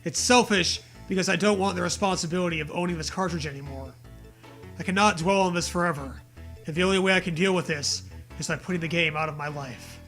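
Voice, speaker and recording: A man's voice: high-pitched, quick pace, fairly bright tone, soft background music. A man reading a story aloud in a comedic way, with mocking, profane casual riffing.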